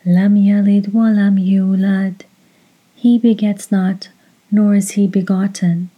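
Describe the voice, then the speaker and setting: A woman leading a guided meditation, speaking softly and breathily in a measured, instructive way with long pauses. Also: medium pitch, flat delivery, dull audio. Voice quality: soft, breathy. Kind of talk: slow guided meditation with recitation.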